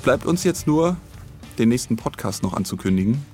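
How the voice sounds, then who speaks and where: A man's voice: mid-range voice, very animated, normal speed, over loud music. A man co-hosting a podcast with casual, teasing banter. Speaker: podcast host.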